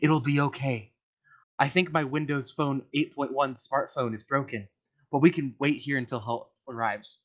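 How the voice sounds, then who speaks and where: A man's voice: medium pitch, fairly even intonation, normal speed, fairly bright tone, lightly acted. Man acting out emphatic, clingy older man. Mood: eager, pushy. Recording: dull lo-fi voice chat audio.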